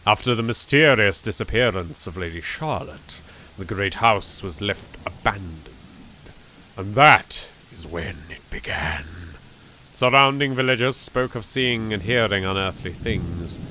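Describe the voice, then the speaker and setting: A British man narrating a horror game legend as a solemn, ominous storyteller, measured and lingering on phrases. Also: on the low side, lively intonation, pushed and bright, a little hiss or hum, low-quality recording. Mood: grave, ominous.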